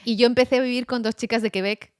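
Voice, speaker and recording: A woman's voice: on the high side, fairly even intonation, fast talker, smooth, no room sound, clean studio sound. A woman guest from Spain chatting casually and animatedly, laughing often and trying out other accents. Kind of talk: casual conversation.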